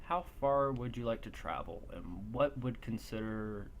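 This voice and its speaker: A man's voice: mid-range voice, animated, measured pace, slight background noise. A man chatting casually as game master of an online roleplaying game. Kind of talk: casual chat while running an online tabletop game.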